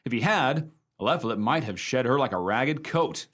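Lively storytelling man, animated pitch. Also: moderate pace, slightly rough. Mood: amused storytelling. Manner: conversational, expressive raconteur. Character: genial husband spinning a ghost yarn to listeners. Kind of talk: radio drama storytelling.

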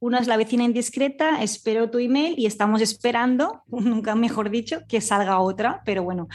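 Mujer de voz aguda charlando informalmente. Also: female voice, on the high side, a little fast, darkish tone. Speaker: podcast guest, audio fiction scriptwriter. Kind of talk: casual chat.

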